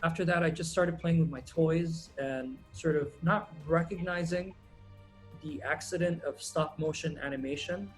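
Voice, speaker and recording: A man's voice: on the high side, moderate pace, faint music behind. Laid-back man, filmmaker guest. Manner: relaxed, rambling, many ums and uhs. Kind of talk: casual interview answers.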